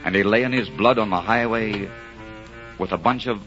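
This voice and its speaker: A man's voice: lowish voice, close to an everyday voice. A man performing as the poetic narrator of a tragic romantic ballad, reciting solemnly and theatrically in 1940s radio style. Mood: solemn, hushed. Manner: solemn, theatrical, drawn-out phrasing. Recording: muffled 1940s radio recording, loud music.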